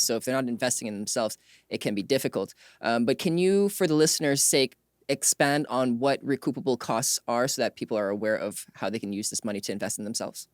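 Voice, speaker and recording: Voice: mid-range voice, dry recording, studio quality. A podcast host speaking conversationally, affirming the guest and introducing segments. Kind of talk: conversational interview hosting.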